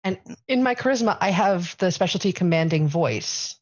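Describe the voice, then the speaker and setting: A woman's voice: lowish voice, expressive, moderate pace, lo-fi sound. A woman playing a tabletop game, plainly explaining her character's abilities and rules. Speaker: player.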